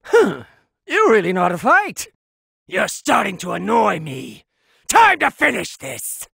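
Shrill, gravelly, bratty cat god. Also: male voice, wildly swooping pitch, a little slow, fairly bright tone, dry recording, clean studio sound, full character voice. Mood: cocky, idle. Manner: bratty, blustering, imperious. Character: pompous, petulant, gluttonous fat cat god of destruction. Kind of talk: anime video game voice acting.

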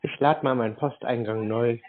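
Male scam baiter, deadpan, plays naive, mid voice, phone sound with light hiss. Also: medium pitch, normal speed. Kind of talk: prank phone call. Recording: tinny phone line with faint noise.

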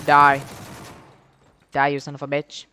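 A young man giving casual gameplay commentary in an excitable, slangy, profane way, speaking into a headset. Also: a little high, a little slow. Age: young adult. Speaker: gamer, YouTube host. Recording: clear game gunfire noise behind voice. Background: shooter game gunfire and clicks.

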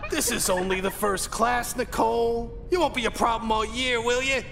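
An actor playing a stern, blustering, gravelly high school gym teacher in a video game. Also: male voice, fairly even intonation, normal speed, a bit bright, full character voice. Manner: gravelly, emphatic. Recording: reverberant gym hall, music behind.

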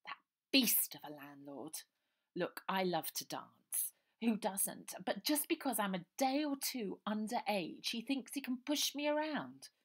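A woman reading aloud and voicing a posh, plump, theatrical young girl in a high, gushing manner. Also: sing-song, unhurried. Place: small room indoors.

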